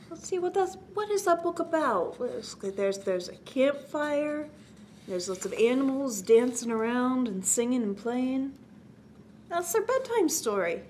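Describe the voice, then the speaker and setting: Animated, high-voiced woman hosting story time. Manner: exaggerated questioning, prompting delivery. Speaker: children's story time host. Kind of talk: children's story time, talking to young listeners. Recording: clear background noise.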